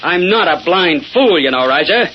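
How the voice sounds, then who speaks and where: An angry, blustering swindler with a highish voice, threatening in old radio style. The recording is muffled and noisy. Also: male voice, animated, normal speed. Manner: blustering, forceful talk. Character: blustering male crooked stockbroker, angry and threatening. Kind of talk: 1930s radio acting.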